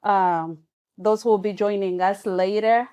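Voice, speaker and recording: A woman hosting an online talk show, making slow, repeated appeals to her viewers. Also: medium pitch, steady tone, mellow, clear, resonant tone.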